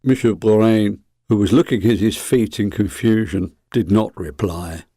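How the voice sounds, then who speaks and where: A man's voice: fairly low pitch, normal speed, mellow, breathy edge, no echo, studio quality. A man narrating a scripted story for an audio drama.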